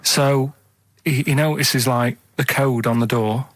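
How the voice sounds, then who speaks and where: A man telling rambling stories casually, halting and repeating himself, often checking that listeners follow. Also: mid-range voice, steady tone, a bit bright, no room sound, studio quality. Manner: halting, repetitive, seeks agreement with "right?". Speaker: podcast and radio co-host storyteller.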